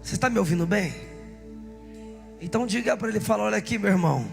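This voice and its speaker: A hoarse man, a pastor, leading a church service, urging the congregation and calling out to them. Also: high-pitched, expressive, fast talker, in a large hall. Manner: exhorting the congregation, calling out to the crowd. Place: church hall. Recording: live church service with music.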